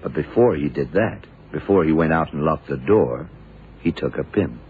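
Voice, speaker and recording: Very deep hard-boiled radio detective. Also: male voice, lively intonation, normal speed. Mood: patient explaining. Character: shrewd, hard-boiled blind male detective confronting killers. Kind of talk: 1970s radio drama acting. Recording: muffled, noisy vintage radio recording.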